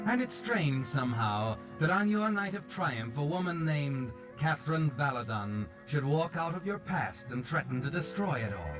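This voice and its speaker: A man's voice: mid-range voice, close to an everyday voice. An actor playing a sinister, knowing male storyteller in 1940s radio mystery style, mocking and insinuating. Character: sinister, knowing male storyteller addressing his doomed subject. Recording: dull 1940s radio, music behind.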